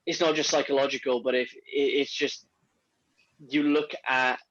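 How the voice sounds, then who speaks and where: A man's voice: medium pitch, little pitch movement, moderate pace, dull audio, over a noisy crowd. A man chatting casually about health supplements, rambling with many restarts and fillers. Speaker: podcast guest on men's health. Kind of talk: casual conversation.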